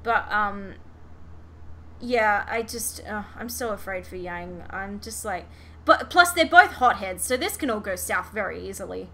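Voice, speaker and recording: Woman podcaster chatting fandom stuff, bright forceful voice, bit of noise behind her. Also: medium pitch, expressive, measured pace. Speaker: podcast host. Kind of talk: casual fan discussion.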